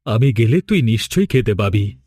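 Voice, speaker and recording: A man's voice: lowish voice, sing-song, moderate pace, a little mellow, breathy edge, no room sound, studio quality. Man acting an insistent cartoon village father. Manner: insistent, dramatic, questioning. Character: protective village father, firm and suspicious of his child.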